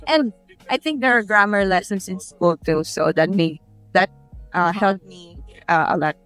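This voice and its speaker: A woman's voice: mid-range voice, normal speed, a bit bright, faint music behind, poor audio. A woman from the Philippines, answering interview questions in a hesitant, self-conscious way. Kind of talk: casual street interview answers.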